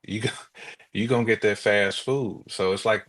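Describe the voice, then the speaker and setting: Podcast co-host: American man, slightly deep voice, informal street-smart phrasing, animated storyteller who voices little quotes. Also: moderate pace, poor audio. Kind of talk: casual chat.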